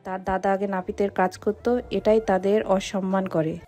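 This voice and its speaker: Woman acting a worried mother, softly mellow. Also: medium pitch, little pitch movement, normal speed, soft background music, close to an everyday voice. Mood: aggrieved, secretive. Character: anxious middle-aged mother confiding a family grievance in secret. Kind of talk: audio story acting.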